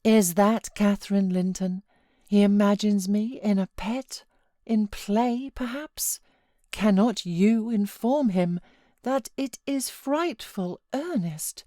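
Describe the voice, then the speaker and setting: A woman voicing a feverish, self-pitying young married gentlewoman from a classic novel, with dramatic outbursts and anguished pleading. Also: medium pitch, slow pace, no echo, studio quality, pitched above the performer's own voice, lightly acted. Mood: indignant, desperate.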